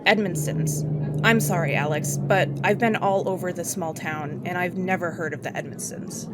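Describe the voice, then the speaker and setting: A woman's voice: lowish voice, natural voice. An actress playing an inquisitive female friend who fires off questions and doubts what she hears. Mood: skeptical. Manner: inquisitive, quick questions, skeptical. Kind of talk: audio drama acting. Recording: loud background noise.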